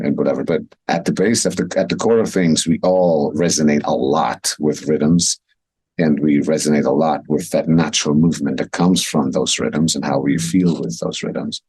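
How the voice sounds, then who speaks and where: A man's voice: extremely low pitch, moderate pace, darkish tone, husky, no echo, poor audio. A man in his thirties from Switzerland, talking casually and reflectively as a coach being interviewed. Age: 30s. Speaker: interview guest, wellness and movement coach. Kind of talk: casual conversation.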